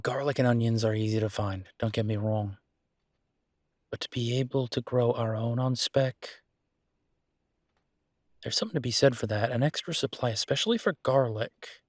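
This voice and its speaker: Casting: male actor as affectionate, laid-back restaurant owner for boyfriend roleplay audio; mid-pitched, casual, chatty delivery. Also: moderate pace, pauses often, no echo, studio quality, close to an everyday voice. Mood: musing, persuasive. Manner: casual, chatty, thinking aloud. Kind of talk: boyfriend roleplay audio drama, one-sided conversation.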